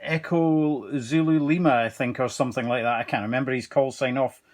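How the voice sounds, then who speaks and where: A man talking casually as an amateur radio operator, with halting phrasing and radio procedure words. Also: medium pitch, fairly even intonation, darkish tone. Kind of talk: casual ham radio exchange.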